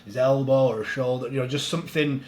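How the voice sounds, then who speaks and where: Man, relaxed podcast guest, slightly nasal from a cold, faint room noise. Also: medium pitch, quick pace. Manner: relaxed, rambling, laughs easily. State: head cold, a bit nasal. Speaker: strongman coach. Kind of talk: casual podcast chat.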